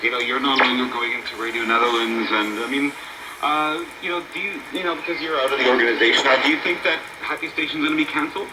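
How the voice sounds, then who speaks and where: A man's voice: medium pitch, fast, reverberant. Noisy shortwave broadcast, chatty male radio host. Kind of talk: informal banter and interview on a radio show.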